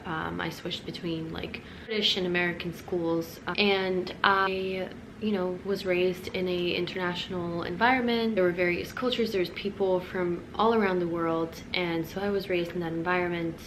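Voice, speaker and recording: Chatty young woman, lowish voice. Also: normal speed. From Czech Republic. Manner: chatty, rambling with fillers and restarts. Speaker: vlogger. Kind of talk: casual storytime. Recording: steady background noise.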